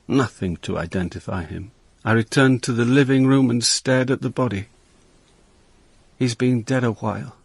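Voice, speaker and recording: Brooding detective inspector in a radio play, bit husky, clipped n wry. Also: male voice, medium pitch, no echo. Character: male Dutch police inspector, brooding, observant, brusque, wry. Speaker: lead actor and narrator. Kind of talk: radio drama acting and first-person narration.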